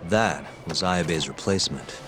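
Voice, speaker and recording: A man's voice: low voice, unhurried. An actor playing an easygoing, slightly awkward male private investigator in a video game, casual and hesitant. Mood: calmer, questioning. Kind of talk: English game dub cutscene acting, naturalistic. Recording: music behind the dialogue.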